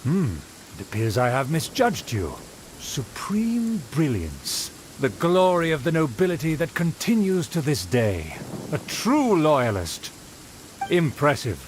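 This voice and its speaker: A man's voice: fairly high pitch, big pitch swings, slow, slight background noise. A pompous, haughty nobleman. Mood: approving. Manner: pompous, formal and condescending. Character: pompous haughty male aristocrat, condescending. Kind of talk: video game voice acting.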